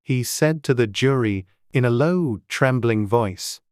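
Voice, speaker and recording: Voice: medium pitch, animated, measured pace, darkish tone, clean tone, no echo, clean studio sound. An audiobook narrator reading a classic tale in a dramatized storybook style.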